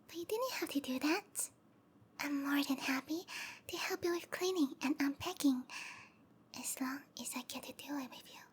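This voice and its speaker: A woman voicing a sweet, obsessive snake-girl lover in a breathy, cooing whisper for an intimate ASMR roleplay. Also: high-pitched, normal speed, close to an everyday voice. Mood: sweet, doting. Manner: cooing, tender, possessive.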